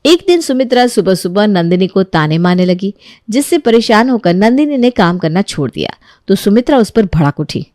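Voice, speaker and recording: A woman narrating a moral cartoon story in an even storytelling manner. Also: medium pitch, normal speed, no room sound, clean studio sound.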